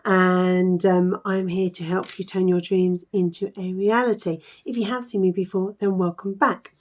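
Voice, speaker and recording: Hoarse croaky woman w a cold, kinda low voice, earnest coach talk w lots of ums and likes. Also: little pitch movement, normal speed. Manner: earnest, frequent "um" and "like" fillers. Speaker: mindset coach. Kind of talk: casual talk to camera.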